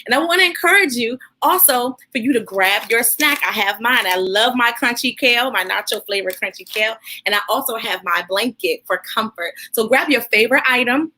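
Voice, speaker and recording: A woman hosting a children's read-aloud, speaking in an animated, encouraging way straight to her young listeners. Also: a little high, fairly quick, few pauses.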